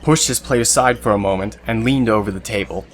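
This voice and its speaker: A man's voice: lowish voice, fairly quick. A man reading scripted narration for an audio drama, telling the scenes as the narrator.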